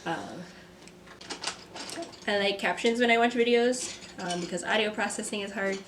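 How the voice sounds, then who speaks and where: A young woman, a mother and artist, talking to camera from a script in a soft, reflective vlog; she sounds stuffy and congested. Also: medium pitch, moderate pace, noisy background. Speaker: vlogger, artist. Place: home. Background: kids playing.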